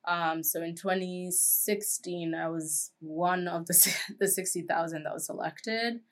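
A woman's voice: fairly low pitch, fairly even intonation, measured pace. A woman in her twenties from Kenya, a guest chatting casually in an interview with lots of "like" and "um". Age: 20s. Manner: chatty, frequent "like" and "um" fillers.

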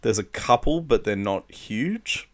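A man's voice: mid-range voice, lively intonation, a little slow. A man co-hosting a casual film chat, explaining films with enthusiasm. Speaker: podcast co-host, film reviewer.

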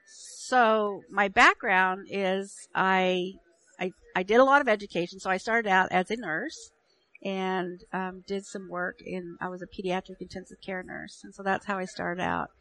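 A woman's voice: on the low side, gravelly, a bit bright, poor audio. A woman with a nursing and public health background, a radio interview guest who talks with hesitations and restarts.

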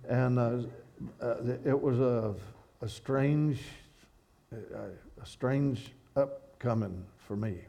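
Kentucky-accented male preacher, warm and dark tone, stumbling restarts, reads a poem in rhythm. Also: medium pitch, gravelly. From Kentucky, USA. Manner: halting restarts, then rhythmic poetic reading. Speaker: guest speaker. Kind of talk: sermon with a read-out poem. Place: church.

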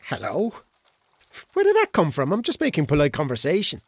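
A man's voice: a little high, huge pitch swings, fairly quick, breathy edge, no echo, full character voice. An actor with an Irish accent playing a hungover, bewildered, flippant ladies' man in a radio drama, talking in an awkward, colloquial way. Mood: bemused.

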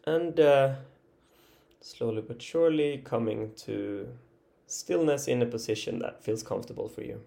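A man leading an improvised guided body relaxation as a meditation teacher, speaking gently and haltingly with long pauses. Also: mid-range voice, gravelly.

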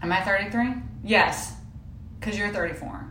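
A woman's voice: mid-range voice, moderate pace, pushed and bright. A woman in her thirties co-hosting a podcast, speaking encouragingly and directly to her listeners. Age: 30s. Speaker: podcast co-host, women's coach. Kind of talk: casual chat. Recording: roomy room with faint noise.

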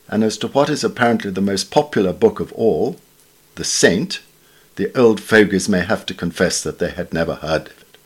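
A British man reading a scripted literary essay in a formal, measured, wryly understated way. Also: sits low, breathy edge. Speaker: reader of newspaper essays.

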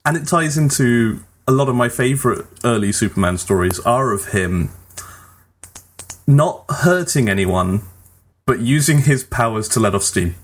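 A man's voice: medium pitch, animated, normal speed, slightly rough. A man hosting a casual group chat show, talking in an informal, conversational way. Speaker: podcast host. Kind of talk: casual group chat about films and games.

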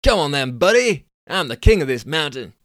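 A man's voice: on the high side, animated, slow pace, fairly bright tone, no echo, clean studio sound, pitched above the performer's own voice. A man voicing a brash young American snowboarding champion with a put-on Californian accent and a boastful surfer-dude drawl. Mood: rowdy.

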